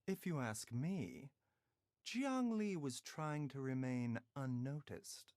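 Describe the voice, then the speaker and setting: A man voicing a gentle, composed young male doctor in a video game, with polite, measured, formal phrasing. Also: mid-range voice, lively intonation, no echo, studio quality. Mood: calm, thoughtful. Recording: game music behind voice.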